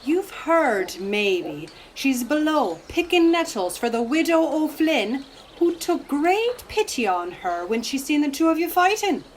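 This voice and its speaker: High-voiced, teasing Irish village girl. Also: sing-song, a little slow, slight background noise. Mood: teasing scorn. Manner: teasing, scornful. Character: handsome young village girl, teasing and scornful. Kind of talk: radio play acting of rural Irish dialect.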